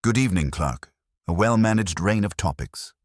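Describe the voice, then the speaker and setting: Man reading as a very deep, courteous inspector. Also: animated, normal speed, no room sound, clean studio sound. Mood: polite, probing. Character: courteous, probing male police inspector, drily formal.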